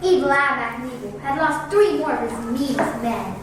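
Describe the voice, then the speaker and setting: A high female voice reads a scripted story aloud. The room is very echoey and the mic is some way off. Clear noise sits behind the words. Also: lively intonation, unhurried, recorded from a distance. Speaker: student narrator in a readers theatre.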